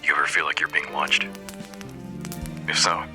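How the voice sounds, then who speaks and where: A man playing a wry, folksy post-apocalyptic radio host, delivering scripted show patter in a dry, reassuring announcer manner with a husky voice. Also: rumbling low, flat delivery, moderate pace, sharp tone, faint music behind, heavily acted. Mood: dry, amused.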